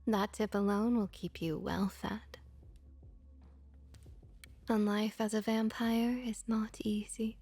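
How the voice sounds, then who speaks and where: Slow, breathy woman as a comforting vampire innkeeper. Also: medium pitch, little pitch movement. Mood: gently instructive. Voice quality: soft, breathy, often slipping into whispers. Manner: unhurried, gentle, reassuring. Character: friendly, comforting female vampire innkeeper and bartender. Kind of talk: ASMR roleplay.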